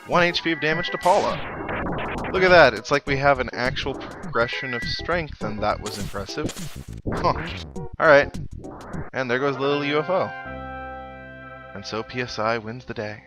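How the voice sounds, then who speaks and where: A man hosts a video game let's play in a casual, conversational way. His voice sits in a middle register, and he drops wry little asides as he comments. Game music plays clearly behind him. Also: medium pitch, moderate pace, long pauses.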